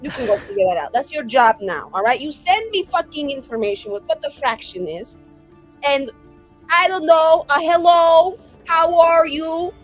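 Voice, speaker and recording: A high, feminine voice from a woman player plays a vain mage in a fantasy tabletop game. She is exasperated and foul-mouthed, ranting with heavy sarcasm over background music. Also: lively intonation, moderate pace, poor audio. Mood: sulky, ranting. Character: exasperated, vain, profane woman mage sending dream messages.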